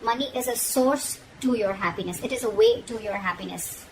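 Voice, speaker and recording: High-voiced woman giving a tarot reading. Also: brisk, poor audio. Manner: frank, admonishing, often checks in with "okay?". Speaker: tarot reader. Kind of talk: informal tarot reading addressed to the viewer. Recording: noticeable background noise.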